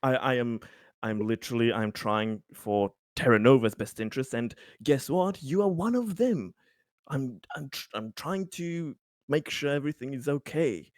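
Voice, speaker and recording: A man playing an earnest, conciliatory company man in a tabletop game, pleading his good intentions in halting, repeated phrases. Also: mid-range voice, expressive, no echo, studio quality, close to an everyday voice.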